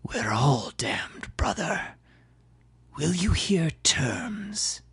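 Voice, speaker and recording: A man's voice: on the high side, big pitch swings, extremely slow, creaky, fairly bright tone, slightly rough, pitched above the performer's own voice, heavily acted. A man voicing a menacing warlord of the damned in an audio drama, with a hissing half-whisper and archaic, taunting speech. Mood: taunting menace.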